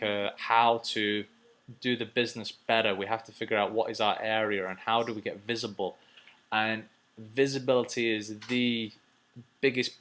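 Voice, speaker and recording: A man's voice: lowish voice, a bit bright. A man hosting a casual, unscripted advice video, answering viewers as he thinks aloud, rambling and repeating phrases.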